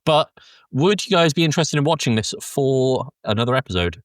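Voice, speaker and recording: A man's voice: mid-range voice, animated, a little fast, dry recording, clean studio sound. A man hosting a casual film discussion podcast in a loose, rambling way, a bit under the weather with a congested throat. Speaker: podcast host.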